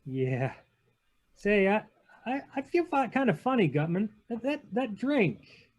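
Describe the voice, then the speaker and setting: A man's voice: sits high, sing-song, a little slow, exaggerated voice. A man playing a skeptical, slangy tough private detective in a 1940s-style radio drama read over a video call. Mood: woozy, fading. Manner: casual, clipped, slangy.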